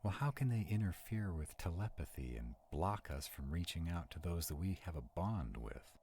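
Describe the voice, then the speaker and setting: A man playing a sarcastic, protective vampire in a roleplay audio drama, wary and quick-witted, talking to unseen companions. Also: low-pitched, very animated, a little mellow, a little hiss or hum. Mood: wary, uneasy.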